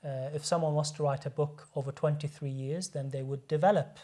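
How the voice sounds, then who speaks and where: A man's voice: fairly high pitch, fairly even intonation, fairly quick, warm tone. Earnest man explaining at length, often restarting. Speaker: guest speaker, medical doctor. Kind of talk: explaining religion in a studio discussion.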